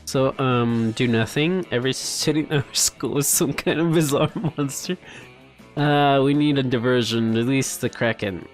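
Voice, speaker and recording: A man's voice: mid-range voice, moderate pace, soft background music. A man hosting a gaming video, reading the story aloud theatrically and adding casual commentary.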